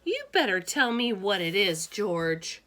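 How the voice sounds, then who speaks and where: A woman's voice: mid-range voice, big pitch swings, unhurried, a bit bright. A woman voicing a clever, bossy young schoolgirl who is a concerned friend, in a storybook reading. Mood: suspicious.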